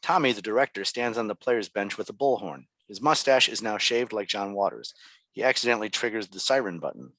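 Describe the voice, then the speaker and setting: A man's voice: on the low side, lively intonation, brisk, a bit bright, no room sound. A man narrating stage directions from a script at a table read.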